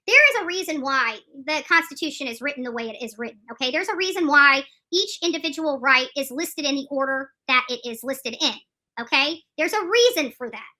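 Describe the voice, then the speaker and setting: A woman with a high-pitched, piercingly bright voice comments live on legal news. She is emphatic and opinionated, hammering points by repeating them. Her delivery is halting, with frequent restarts. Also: animated. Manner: emphatic, repeats phrases for stress. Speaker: streamer commenting on court news.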